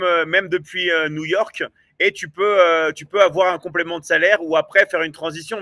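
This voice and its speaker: A man's voice: sits high, bright, forceful tone, no echo. A man hosting a live online sales stream, talking eagerly with lots of fillers and repeats. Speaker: live show host. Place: at home in South America.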